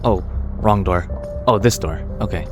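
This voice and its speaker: A man's voice: low voice, unhurried, music in the background. A man giving casual let's-play reactions as he streams a game.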